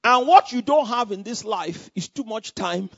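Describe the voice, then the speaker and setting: A Nigerian man preaches a testimony, his voice sitting a bit high and sounding dry and close. He tells the story in an animated way, repeating words and phrases as he goes. The sound is dull and lo-fi. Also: measured pace, gravelly, no room sound. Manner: animated storytelling with repetitions and laughter. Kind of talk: sermon testimony.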